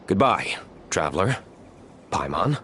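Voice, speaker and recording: A man's voice: deep voice, big pitch swings, measured pace, pushed and bright, a little hiss or hum, exaggerated voice. An actor playing a stern, reserved young nobleman in a video game, speaking in a formal, curt, aloof way.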